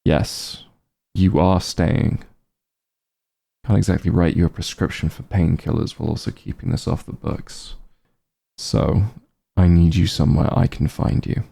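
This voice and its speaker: A man playing a gentle, concerned doctor who is an old friend, speaking slowly and soothingly in a soft ASMR roleplay, with a low, husky voice. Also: steady tone, full character voice. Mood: firm, caring. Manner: slow, soothing, reassuring.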